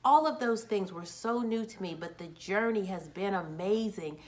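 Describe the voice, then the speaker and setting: A woman's voice: mid-range voice, normal speed, a little mellow, low-quality recording. A woman in her forties, a children's book author, talking casually and upbeat to camera, a little nervous and restarting words. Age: 40s.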